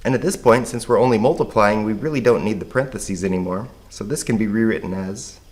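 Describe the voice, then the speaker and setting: Hoarse man teaching math. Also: fairly low pitch, fast talker, darkish tone. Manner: patient step-by-step explaining. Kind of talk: recorded math lesson.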